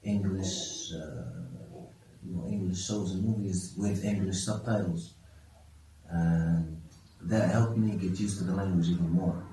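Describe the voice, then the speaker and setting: A man's voice: sits low, fairly even intonation, a little slow, warm tone, slight background noise. A young man whose first language is Arabic, answering interview questions in a casual, hesitant way with many restarts. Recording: echoey room from a distance.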